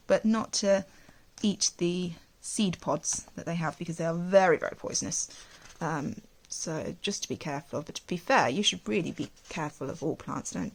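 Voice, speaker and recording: A woman teacher reading a storybook aloud to young children, expressively, with gentle questions and asides. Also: fairly low pitch, vocal fry, husky, poor audio.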